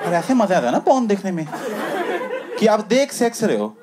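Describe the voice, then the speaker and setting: A young man doing stand-up storytelling on a comedy club stage with a handheld mic. He acts out the scenes, dropping low for asides and jumping high for the punchlines. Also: big pitch swings, a little fast, a loud crowd, heavy background noise. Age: young adult. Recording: live club audio with loud audience laughter.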